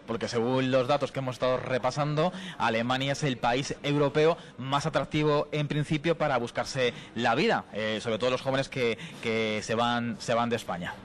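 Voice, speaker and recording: A man's voice: medium pitch, fairly even intonation, moderate pace. A man from Spain doing a live on-location interview as a radio reporter, chatty and jokey.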